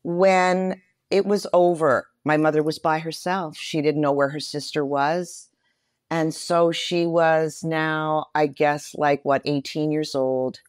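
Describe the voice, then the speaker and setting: A woman's voice: sits low, fairly even intonation, takes it slowly, dry recording, studio quality. A middle-aged woman speaking as an interview guest, telling personal stories expressively, repeating phrases for emphasis. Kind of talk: personal storytelling in conversation.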